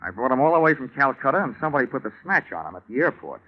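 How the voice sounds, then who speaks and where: An actor playing a hard-boiled American insurance investigator in an old-time radio drama, wry, slangy and terse. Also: male voice, medium pitch, very animated, very fast. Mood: brisk, urgent. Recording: muffled vintage radio recording.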